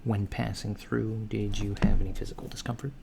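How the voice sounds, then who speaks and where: A man playing a calm alien doctor disguised as a human, speaking softly and hesitantly in a gentle, clinical ASMR roleplay. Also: fairly low pitch, fairly quick. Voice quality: soft, breathy, near whisper. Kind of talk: soft-spoken ASMR roleplay. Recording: lo-fi with clear background noise.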